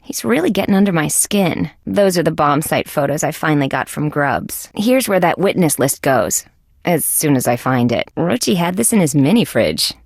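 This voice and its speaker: Tough young female insect cop for a video game: lowish voice, wry, wisecracking, very dry close sound. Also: animated, moderate pace, creaky, husky, no echo, full character voice. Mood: businesslike. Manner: sardonic, wisecracking. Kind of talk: video game character lines.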